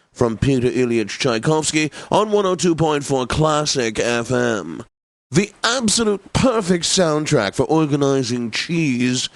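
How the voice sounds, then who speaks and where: A man's voice: medium pitch, no echo, natural voice. Man playing a pompous classical radio DJ, dry studio sound. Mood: wry, chatty. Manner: pompous, precise, theatrically obliging. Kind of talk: scripted radio continuity announcing.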